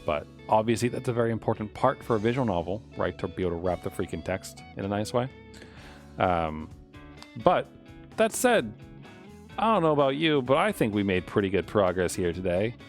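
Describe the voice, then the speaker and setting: A man with a low voice talks through his work on a live coding stream. He is casual and unscripted, wandering from thought to thought as he reasons aloud. Soft guitar music plays faintly behind him. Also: big pitch swings, fairly quick. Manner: rambling, thinking aloud.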